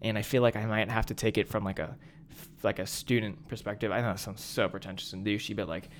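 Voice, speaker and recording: A man's voice: fairly low pitch, fairly even intonation, fast, a little hiss or hum. A young man co-hosting a podcast, talking casually and eagerly about films and music. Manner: enthusiastic, chatty, self-aware. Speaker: podcast co-host. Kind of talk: casual chat.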